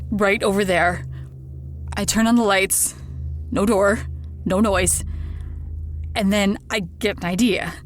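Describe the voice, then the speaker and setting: An actress playing a haunted woman in an audio drama, confiding a ghost story in an anxious, halting, repetitive way. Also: mid-range voice, natural voice.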